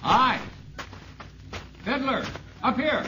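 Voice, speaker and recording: A man playing a brisk, plainspoken and wry forest ranger in a 1950s radio drama, on a noisy old recording. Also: extremely high pitch, animated, bright, forceful tone, heavily acted. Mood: hearty. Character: brisk, wisecracking male forest ranger on fire duty.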